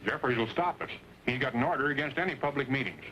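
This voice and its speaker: Worried rancher on noisy old film. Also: male voice, medium pitch, animated, quick pace, sharp tone. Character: worried western rancher, anxious but willing. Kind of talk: 1930s-40s western film acting.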